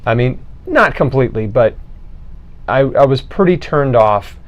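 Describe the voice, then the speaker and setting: A man hosts a conversational interview. His voice is of medium pitch, and he talks informally as he explains his views and puts questions to a guest. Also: expressive, unhurried, dull audio. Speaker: interviewer and show host.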